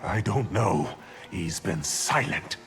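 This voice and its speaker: A man voicing an arrogant, sinister underworld sorcerer in a video game, with a smug, taunting, deliberate delivery. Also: low-pitched, expressive, heavily acted. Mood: cunning. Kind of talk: video game villain acting. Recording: game dialogue with music behind.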